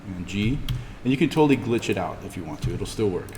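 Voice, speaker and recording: A man giving an informal in-class software tutorial, explaining step by step as he demonstrates. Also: lowish voice, expressive, quick pace. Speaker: instructor. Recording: reverberant room with background noise.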